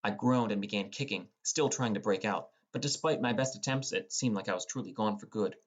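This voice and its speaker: A man's voice: fairly low pitch, brisk. A young man reading scripted first-person fantasy narration as a dramatic storyteller. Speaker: audiobook narrator.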